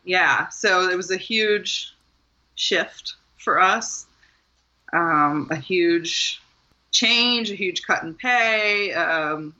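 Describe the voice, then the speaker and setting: A woman's voice: fairly low pitch, drawn-out words, pushed and bright, muffled recording. A woman from Maryland, a guest author, talking casually in an interview, rambling a little and laughing often. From Maryland, USA.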